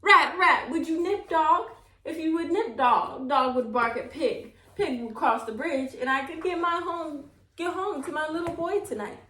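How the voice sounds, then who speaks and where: A tearful old woman from a storybook pleads in a high, lilting singsong, as a woman reads her aloud. The voice rings in a roomy, echoey space. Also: measured pace, sharp tone. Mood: pleading. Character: tearful old woman trying to get home. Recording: roomy echo.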